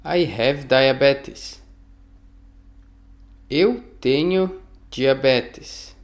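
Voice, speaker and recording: A man reads short phrases in a drill for learners, repeating each one. He speaks at a very slow, careful pace, with a pitch in the middle for a man. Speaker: language teacher.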